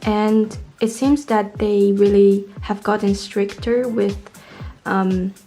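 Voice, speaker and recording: Young woman from poland vlogging, english, chatty and informal, relaxed mellow voice, bit of music behind. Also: medium pitch, slow pace. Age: young adult. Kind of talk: casual storytelling to camera.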